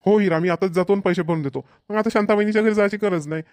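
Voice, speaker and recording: A man's voice: high-pitched, racing, a little mellow, no echo, lightly acted. A man voicing a practical, bossy but agreeable middle-aged village father in a cartoon comedy, chatty and emphatic, with a Varhadi Marathi accent.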